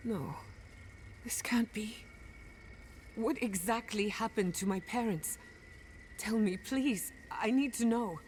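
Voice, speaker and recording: A woman playing a wounded, sharp-tongued animancer in a fantasy game, emotional and sardonic. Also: fairly high pitch, slow pace, pauses often, slight background noise. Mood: grieving, then pleading. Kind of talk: fantasy video game acting.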